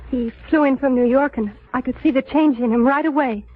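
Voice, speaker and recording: An actress playing an anxious, gentle young woman with a polite, pleading manner in 1940s radio drama style. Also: high voice, brisk. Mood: worried, pleading. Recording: tinny, phone-like old radio recording.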